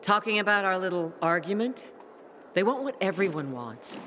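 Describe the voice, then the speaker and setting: An actor playing a blunt, proud soldier who briefs a newcomer in a brusque, informal way in a video game. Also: male voice, high voice, sing-song, moderate pace, sharp tone, a little hiss or hum, heavily acted. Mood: matter-of-fact. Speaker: faction officer giving briefings.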